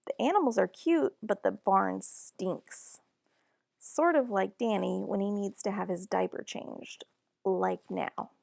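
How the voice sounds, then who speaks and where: A woman reading a children's storybook aloud in an expressive, deliberate and animated narration. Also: medium pitch, gravelly, dry recording, studio quality. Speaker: children's book reader.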